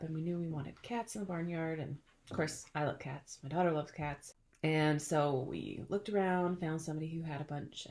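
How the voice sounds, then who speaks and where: A middle-aged woman hosting a casual chat, telling stories in a chatty, playful way and laughing often. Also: low voice. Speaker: podcast host.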